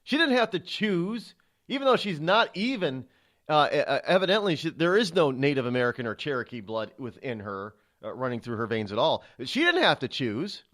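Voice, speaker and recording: A man's voice: fairly high pitch, sing-song, moderate pace, fairly bright tone. A middle-aged man hosting a talk radio show, delivering an indignant, rhetorical monologue with frequent stumbles and fillers.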